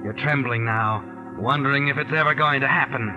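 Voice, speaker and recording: An actor playing a knowing, taunting narrator in a 1940s radio mystery, speaking with deliberate suspense. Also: male voice, mid-range voice, muffled recording, loud music behind the voice, close to an everyday voice. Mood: ominous. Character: ominous, knowing male narrator addressing a murderer. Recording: vintage 1940s radio recording.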